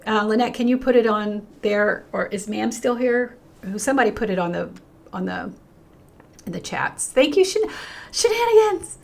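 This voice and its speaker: A woman's voice: a little high, normal speed, creaky. An older woman pastor hosting a livestream, talking earnestly and reading out viewer comments while she is losing her voice. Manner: earnest and emphatic, with frequent restarts and a lively rise when greeting viewers. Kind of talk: unscripted livestream talk, reading chat comments.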